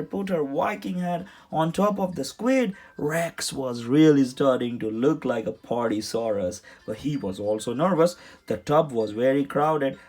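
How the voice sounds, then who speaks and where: A man reading a children's story aloud in an expressive, dramatic storytelling manner. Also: a little high. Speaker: storybook reader. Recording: music behind the voice. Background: music.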